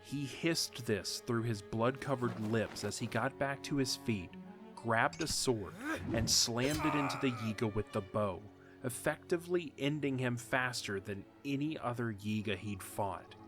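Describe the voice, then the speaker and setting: A man narrating a fan audio drama in a steady, measured storytelling manner. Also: mid-range voice. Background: music.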